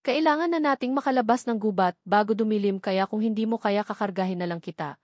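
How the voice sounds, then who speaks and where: A woman's voice: mid-range voice, quick pace, a little mellow, dry recording, studio quality, natural voice. A woman voicing a stern, worried, possessive rich husband in a narrated romance story.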